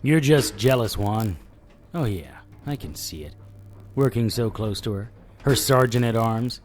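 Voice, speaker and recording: Man playing a wisecracking detective, very animated delivery. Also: medium pitch, unhurried, faint music behind, natural voice. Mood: needling. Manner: sardonic, needling. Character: wisecracking, defiant male noir detective hero. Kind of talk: audio drama dialogue and first-person narration.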